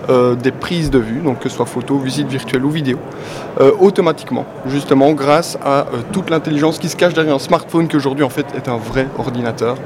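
A man with a Belgian French accent, answering an interviewer as a company guest. He talks with enthusiasm and a sales pitch, with many "euh" fillers. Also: a little fast. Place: event venue, among people. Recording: clear background noise.

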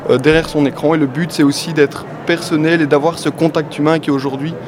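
A man's voice: a little high, steady tone, a little fast. Belgian man talking eagerly over noise. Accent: Belgian French. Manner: enthusiastic, salesy, frequent "euh" fillers. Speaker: company adviser, interview guest. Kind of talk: conversational interview answers. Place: event venue, among people. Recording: clear background noise.

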